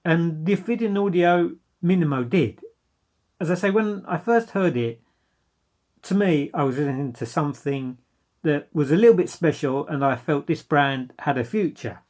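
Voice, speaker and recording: A man gives an informal product review. His voice sits a little higher than average for a man. He speaks with enthusiasm and clear emphasis, like a dealer presenting his wares. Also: lively intonation, normal speed, a little mellow.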